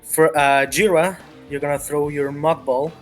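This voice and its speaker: Male game master, slightly high voice, halting improvised narration, repeats himself, guitar music underneath. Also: fairly even intonation. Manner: halting, repeats phrases while thinking.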